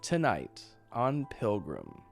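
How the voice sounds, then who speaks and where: A man presenting a scripted series recap, announcing it in a measured way. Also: on the low side, huge pitch swings, creaky, faint music behind. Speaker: creative director and series presenter.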